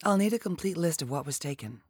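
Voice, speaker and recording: A woman's voice: low voice, expressive, fast talker, a little mellow, husky, dry recording, studio quality, voice pushed up, exaggerated voice. A woman voicing a persistent, tactful female federal agent in an audiobook, with a probing and controlled manner. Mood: polite, firm.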